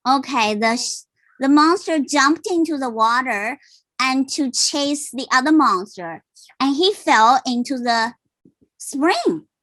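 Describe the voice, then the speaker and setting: Slow, high-voiced woman reading a storybook. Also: expressive, a bit bright, poor audio. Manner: simple, explanatory, checks in with listeners. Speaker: parent reading a picture book aloud. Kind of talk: read-aloud storytelling with asides.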